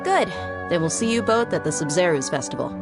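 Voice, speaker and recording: Frank young mercenary guard over music. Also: female voice, on the low side, lively intonation, a little fast. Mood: earnest, friendly. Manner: conversational, candid. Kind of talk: video game voice acting.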